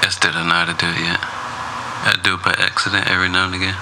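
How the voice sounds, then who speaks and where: A man's voice: low-pitched, monotone, fast, background noise. A man co-hosting a casual podcast, talking in a laid-back, slangy drawl. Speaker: podcast co-host. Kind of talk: casual chat.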